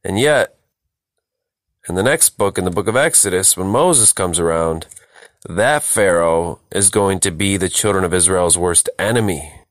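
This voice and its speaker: A man's voice: sits low, unhurried, no room sound. A man leading a verse-by-verse Bible study, reading scripture and explaining it emphatically, repeating phrases for stress. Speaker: Bible teacher, host.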